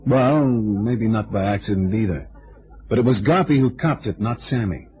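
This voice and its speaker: A low-pitched, tough but affable detective played by a male actor from a vintage radio serial. He talks in a wry, streetwise way with hesitant turns. The recording is dull and lo-fi. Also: expressive, moderate pace, a little mellow, a little hiss or hum, lightly acted. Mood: persuasive, wry. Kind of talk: old-time detective radio drama acting.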